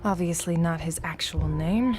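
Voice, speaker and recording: Woman as young female agent, slightly low voice, determined, dry, game cutscene, loud music. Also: normal speed, natural voice. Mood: focused, dry. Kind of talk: video game voice acting.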